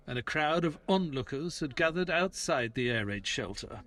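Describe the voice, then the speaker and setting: An actor playing an earnest, uneasy gentleman companion who narrates an audio play dramatically, with a husky voice. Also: mid-range voice.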